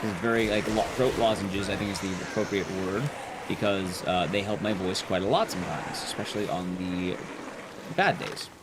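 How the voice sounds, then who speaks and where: A young man streaming to his chat, talking casually, rambling and chatty with sudden jumps in pitch. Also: moderate pace, very noisy.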